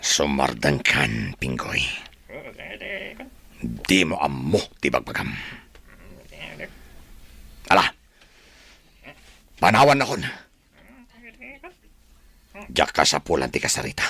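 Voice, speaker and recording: A man acting a menacing, bitter crime boss in a radio drama, with a rasping, heavy delivery that can swing into snarls. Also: extremely low pitch, big pitch swings, slow, long pauses, sharp tone, heavily acted. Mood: menacing, angry. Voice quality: gravelly, rasping. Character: menacing, bitter crime boss, later ailing remorseful prisoner.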